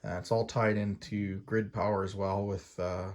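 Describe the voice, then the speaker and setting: A man's voice: on the low side, little pitch movement, moderate pace, darkish tone. A man giving a casual, unscripted explainer as an outdoors gear host, rambling and hesitant in an informal way, often checking in with a tag question.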